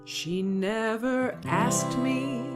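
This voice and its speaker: Wistful female musical lead, played by a woman: high, very unhurried sung delivery over guitar. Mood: fond, wistful. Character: Jay, wistful woman recovering from psychiatric crisis. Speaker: singer in a musical reading. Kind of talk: slow musical theatre singing with guitar. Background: plucked guitar accompaniment.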